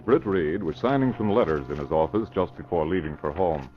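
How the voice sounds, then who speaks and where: An actor as the formal narrator of a 1940s radio drama, reading scripted scene-setting narration. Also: male voice, low-pitched, brisk, close to an everyday voice. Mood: measured, formal. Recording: muffled vintage radio recording. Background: music.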